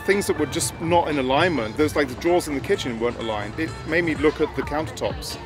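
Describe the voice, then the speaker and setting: A man in his forties, a boat owner, calmly recounting what he found in a casual interview outdoors. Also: on the high side, fast, music in the background.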